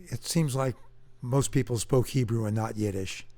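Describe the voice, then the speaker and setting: A man's voice: mid-range voice, normal speed, dark tone. A man hosting a casual interview, asking questions hesitantly with many restarts. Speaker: interview host.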